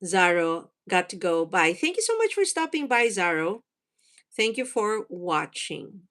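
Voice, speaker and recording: A woman's voice: medium pitch, big pitch swings, unhurried, fairly bright tone. A woman in her forties from the Philippines, a native Tagalog speaker, hosting a casual livestream, reading comments aloud and laughing often. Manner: chatty, laughs often, thinks aloud with fillers. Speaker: livestream host.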